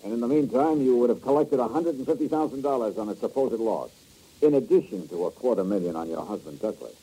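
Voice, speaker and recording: An actor playing a wisecracking, tough insurance investigator who talks in a dry, sarcastic, clipped way in a 1950s radio drama. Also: male voice, mid-range voice, lively intonation, quick pace, warm tone, slightly rough, rough recording. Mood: sardonic.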